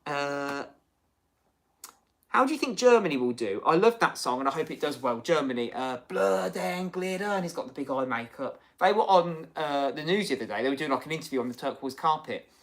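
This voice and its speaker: A man's voice: fairly high pitch, lively intonation, fairly quick, a bit bright. Male streamer, chatty and rambling, reads viewer comments, casual host. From United Kingdom. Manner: chatty, rambling, many fillers and restarts. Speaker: livestream host.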